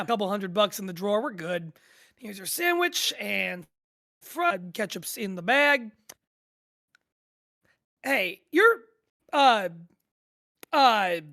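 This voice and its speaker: A woman's voice: mid-range voice, gravelly, bright, forceful tone, exaggerated voice. A woman playing a friendly, easily amused drive-thru worker, chatting casually in an audio drama table read.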